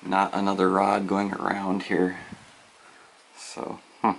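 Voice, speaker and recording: A man casually narrating as he explores an abandoned farmhouse, musing quietly and sometimes dropping to a whisper, recorded on a handheld camera mic indoors. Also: monotone, takes it slowly, slight background noise, low-quality recording. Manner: musing, low-key, trailing off into soft whispers.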